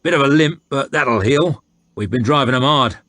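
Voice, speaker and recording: A man voicing a coarse, bullying soldier who haggles loudly over a price. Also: normal speed, no room sound, lightly acted. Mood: haggling. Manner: loud, high and bullying. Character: coarse loud male slave-driving soldier, crude and haggling.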